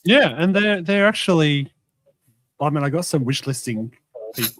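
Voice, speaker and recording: A man's voice: a little high, expressive, moderate pace, a bit bright, no room sound. A man co-hosting a tabletop gaming podcast, speaking casually and a bit hesitantly. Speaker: podcast co-host.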